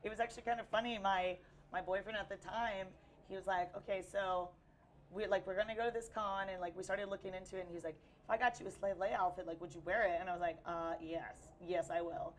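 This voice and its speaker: A woman's voice: mid-range voice, fairly even intonation, fast talker, a little hiss or hum. A young woman with a Texan accent, chatting casually as an interview guest while losing her voice, upbeat and full of fillers. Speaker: cosplayer guest. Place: busy convention hall.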